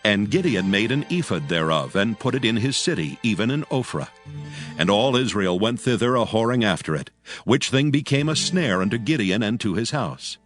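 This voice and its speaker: A man with a lowish, expressive voice narrates ancient scripture. His delivery is solemn and formal, in the manner of an old storyteller, with music playing clearly behind him. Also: moderate pace. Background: clear background music.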